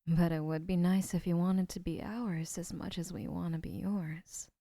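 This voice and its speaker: A woman playing a doting, obsessive young woman who keeps the listener, speaking in a soft, whispery, sweetly possessive way in an audio roleplay. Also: on the low side, fairly even intonation, normal speed, vocal fry, no room sound.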